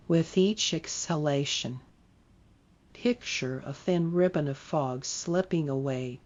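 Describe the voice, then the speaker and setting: A meditation guide leading a guided sleep meditation in a soft, soothing way. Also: medium pitch, lo-fi sound. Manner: slow, soft, soothing delivery.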